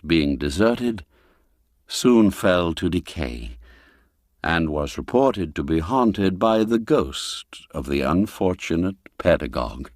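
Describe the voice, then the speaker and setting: Man, audiobook narrator, lowish voice, expressive literary delivery, very dry close sound. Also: no echo. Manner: measured, expressive storytelling delivery. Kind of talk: scripted literary story narration.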